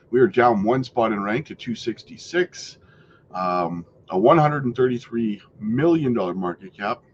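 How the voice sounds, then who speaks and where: A man's voice: medium pitch, dull audio, a little hiss or hum. A man hosting a casual crypto market update, sick and stuffy with a worn-out voice, talking chattily and checking in with his viewers. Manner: chatty, keeps checking in with "right?" and "guys". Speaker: crypto channel host.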